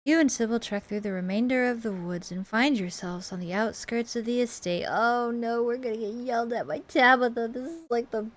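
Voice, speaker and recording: A woman's voice: fairly high pitch, fairly quick, hardly any pauses. A woman streaming a video game playthrough, reading aloud and commenting in a playful, jokey manner.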